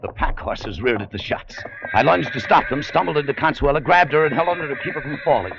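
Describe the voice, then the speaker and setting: A man's voice: on the high side, expressive, fast, gravelly, heavy background noise. An actor playing a hard-bitten, distrustful American adventurer, telling his story tersely and dramatically in a 1940s radio drama. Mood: urgent. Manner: terse, world-weary, dramatic. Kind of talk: 1940s radio acting, first-person narration. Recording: dull old radio recording.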